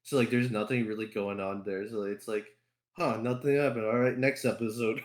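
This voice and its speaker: A man's voice: on the low side, steady tone, brisk, reverberant. A man chatting casually on a podcast, rambling and swearing freely as he goes. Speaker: podcast co-host. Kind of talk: casual chat.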